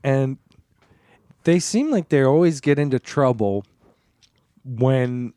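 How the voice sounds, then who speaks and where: A man co-hosting a comedy podcast, chatting casually and deadpan through absurd bits. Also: mid-range voice, slow pace, smooth, dry recording, clean studio sound.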